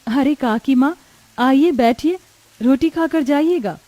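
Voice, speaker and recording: A gentle, dutiful young village daughter-in-law played by a woman in a cartoon moral tale. She is high-voiced, polite and patient, recorded dry and close. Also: slow pace, no room sound. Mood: kind, polite. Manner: polite, earnest, soft-hearted.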